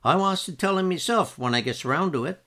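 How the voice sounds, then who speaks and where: A man's voice: sits high, animated, a little fast, fairly bright tone, voice pushed up. A man voicing a wiry, stubborn old fisherman in his nineties with a sly, grumbling manner. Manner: sly, cantankerous, dialect speech.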